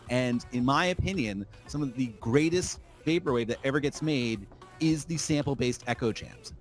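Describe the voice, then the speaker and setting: Emphatic, profane man on a podcast. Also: medium pitch. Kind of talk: casual chat turning into opinionated rant.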